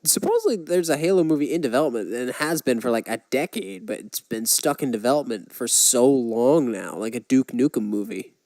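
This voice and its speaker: A man's voice: medium pitch, expressive, a little fast, few pauses, a little mellow, no echo. A man co-hosting a podcast, talking casually in a conversational way. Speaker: podcast co-host. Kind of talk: casual chat about movies and games.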